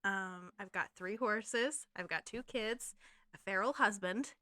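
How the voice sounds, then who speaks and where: A chatty woman from Maine, with a slight accent, co-hosting a casual podcast. Her voice is bright and forceful, with a close, dry sound. She talks in quick bursts full of likes and ums. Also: mid-range voice, no echo. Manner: chatty, filler-heavy, laughs often. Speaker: podcast co-host, real estate agent. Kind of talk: casual chat.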